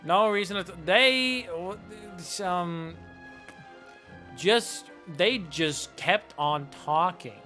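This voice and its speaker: A man's voice: high voice, very slow, sharp tone, faint music behind. A man streaming a video game with casual live commentary, flippant and rambling.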